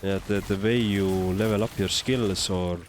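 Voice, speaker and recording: A man's voice: sits low, steady tone, measured pace, a little hiss or hum. A man from Estonia with an Estonian accent, casually commentating on a game and chatting as a livestreamer. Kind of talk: casual live gameplay commentary and chat.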